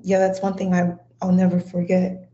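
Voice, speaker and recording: Low, flat-voiced woman. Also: normal speed. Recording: dull lo-fi audio.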